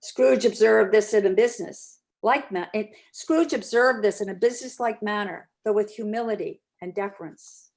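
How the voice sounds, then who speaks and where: A woman's voice: medium pitch, moderate pace, few pauses, darkish tone. A woman narrating a classic story aloud as an amateur dramatic reading.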